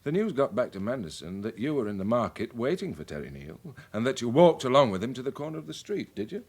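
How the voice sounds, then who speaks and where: An actor playing a worldly, middle-aged British police chief in a radio drama, measured and wry as he explains things. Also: medium pitch, big pitch swings, darkish tone, breathy edge. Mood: amused, confiding. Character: worldly, wry senior police chief briefing his sergeant.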